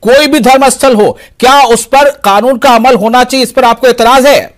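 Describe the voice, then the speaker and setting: A man's voice: extremely high pitch, fairly even intonation, moderate pace. A man moderating a live news debate as host, putting probing, insistent questions and cutting in.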